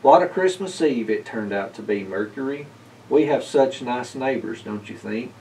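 A man's voice: mid-range voice, lively intonation, normal speed, a little mellow, close to an everyday voice. A man reading the part of a hopeful, practical injured old man in a storybook, in a plain conversational way. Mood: contented. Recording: distant mic, slight background noise.

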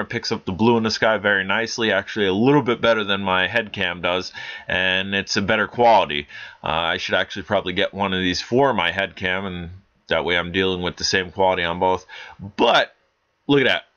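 A man's voice: lowish voice, sharp tone. An American man giving casual, enthusiastic drone review commentary in an informal way, repeating himself for emphasis. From Pennsylvania, United States. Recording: dull lo-fi audio with faint noise.

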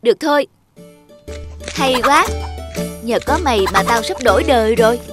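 Lazy young cartoon dreamer, played by a woman: excitable, fairly high voice, with music. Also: moderate pace, lightly acted. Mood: excited. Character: lazy daydreaming young milk seller lad. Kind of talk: children's cartoon voice acting.

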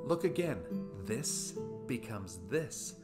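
A man's voice: mid-range voice, sing-song, slow, loud music behind the voice. A man in his fifties giving a scripted, essay-like talk to camera, asking rhetorical questions with playful emphasis. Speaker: video essayist. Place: small room at home, indoors.

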